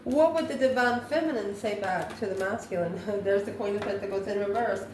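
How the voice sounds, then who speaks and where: A woman's voice: mid-range voice, a little fast, darkish tone. A woman doing an improvised tarot card reading, musing aloud and answering her own questions. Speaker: tarot reader, channel host. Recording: echoey room, faint background noise.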